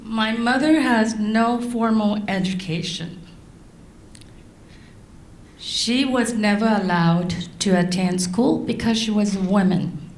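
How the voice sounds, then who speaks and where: A middle-aged woman from Laos, raised in France, giving a prepared speech to an audience in slow, deliberate phrases. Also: medium pitch, echoey room, a little hiss or hum. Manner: slow, deliberate delivery with long pauses. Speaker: guest speaker.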